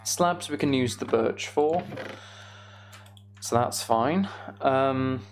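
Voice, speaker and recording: A man's voice: mid-range voice, takes it slowly, a little hiss or hum. A man giving casual, rambling gaming commentary to a live chat, with playfully exasperated banter. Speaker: game streamer hosting a live chat stream.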